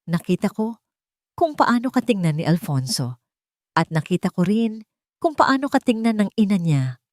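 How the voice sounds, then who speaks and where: A woman voicing a wealthy young fiancée, gentle and sad, speaking softly and calmly in an audio love story. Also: mid-range voice, moderate pace, no echo, studio quality, pitched above the performer's own voice, natural voice. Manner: soft, calm, sorrowful.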